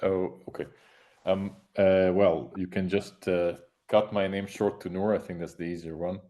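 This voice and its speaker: Man, very deep, flat and mellow, halting casual intro over a call. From Egypt. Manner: halting, searching for words. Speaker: meeting participant, software developer. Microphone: video call.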